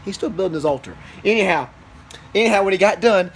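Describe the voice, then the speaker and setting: A man, a preacher and father, telling an improvised Bible story to a child in an animated, interactive way. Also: sits high, fast talker. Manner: animated, interactive, checks on listener with questions. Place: small office in a house. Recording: noisy amateur home recording.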